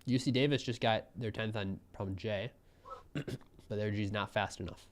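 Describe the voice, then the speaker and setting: A young man giving relaxed, musing live commentary on a programming contest, often trailing off mid-thought. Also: lowish voice, moderate pace, a little hiss or hum.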